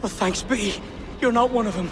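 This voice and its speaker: A man's voice: sits high, normal speed. An actor playing a frightened male prisoner, who pleads in panic. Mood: panicked. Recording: game audio with long reverb and music.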